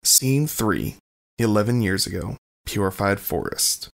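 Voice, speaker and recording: A man narrates scene settings from a script. His voice is fairly low with a noticeable fry, suited to announcing the scenes of an audio drama. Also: lively intonation, measured pace, a bit bright.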